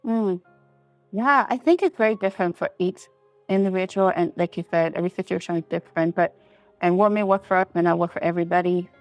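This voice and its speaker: A woman from Liberia speaks as a guest on a talk show. Her voice is slightly low with noticeable creak, over faint music. She is reflective and hesitant, feeling her way to each point in a conversational style. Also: fast talker, no echo, highs cut off. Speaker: interview guest. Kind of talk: conversational interview answers.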